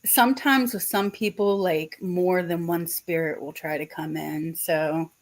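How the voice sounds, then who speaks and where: A woman from Virginia with a faint Southern accent, giving a gentle, tentative psychic reading in casual livestream chat. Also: on the low side, fairly even intonation, unhurried. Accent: Southern US, subtle. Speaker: psychic medium host.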